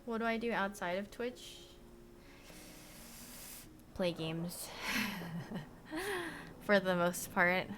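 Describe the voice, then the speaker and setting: Young woman, streamer, rambling casual chat, mid pitch, soft trail-offs, faint background hum. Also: very animated, very slow, long pauses. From Korea. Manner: rambling, full of "like" and "you know", trailing off softly. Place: bedroom at home.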